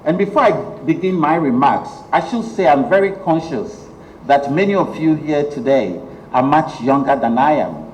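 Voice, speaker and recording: A middle-aged man from Ghana with a Ghanaian accent, giving a measured public lecture full of ums. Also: on the high side, expressive. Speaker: guest lecturer. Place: lecture hall. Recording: echoey hall, faint noise.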